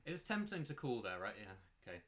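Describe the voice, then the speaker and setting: Male poker streamer, mid-pitched, bright forceful tone, casual rambling commentary with emphatic rhetorical questions. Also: sing-song, fairly quick. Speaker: poker player and coach commentating his own play.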